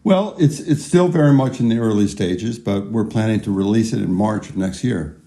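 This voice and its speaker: An actor playing a modest, amiable researcher being interviewed in an audio drama, slightly halting. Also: male voice, fairly low pitch, mellow, close to an everyday voice.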